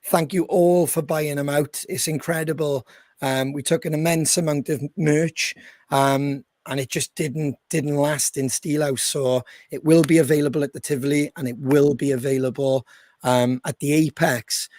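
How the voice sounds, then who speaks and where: A hoarse, croaky man hosting his band's podcast in a chatty way, with frequent ums. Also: a little high, fairly even intonation, normal speed, a little mellow, no echo, clean studio sound. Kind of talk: casual chat.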